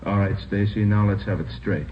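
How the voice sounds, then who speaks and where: An American man playing a steady, commanding police lieutenant in a 1950s radio crime drama, brisk and controlled. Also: fairly low pitch, natural voice. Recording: tinny old radio, faint noise.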